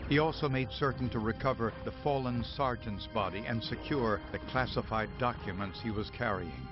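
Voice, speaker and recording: A man's voice: mid-range voice, normal speed. A man with an American accent reading formal, scripted documentary narration. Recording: slightly dull audio under music.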